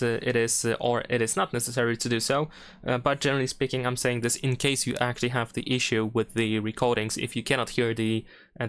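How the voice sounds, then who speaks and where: A man hosts a how-to walkthrough in a clean, smooth voice of medium pitch. He explains each step in turn without a script, adding small hedging asides as he goes. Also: fairly bright tone. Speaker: tutorial host. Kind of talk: unscripted how-to screen narration.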